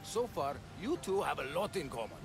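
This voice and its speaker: Male village chief, game cutscene: blunt, sardonic, high voice, highly animated. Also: normal speed, vocal fry, over music. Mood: sardonic, pragmatic. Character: exasperated male leader of a besieged ancient Greek village. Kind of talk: video game cutscene acting.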